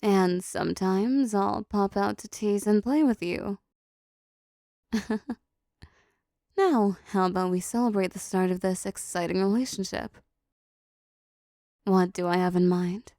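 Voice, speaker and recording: Woman acting a slow, creaky-voiced seductive demoness. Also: medium pitch, dark tone, no room sound, clean studio sound, close to an everyday voice. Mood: flirty, coaxing. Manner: slow, teasing, dominant, doting. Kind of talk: intimate roleplay audio acting.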